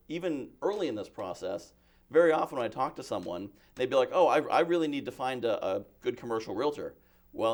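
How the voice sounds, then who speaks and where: Fast-talking man telling stories. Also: mid-range voice. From Massachusetts. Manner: chatty, self-deprecating storyteller. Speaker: guest. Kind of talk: casual interview talk.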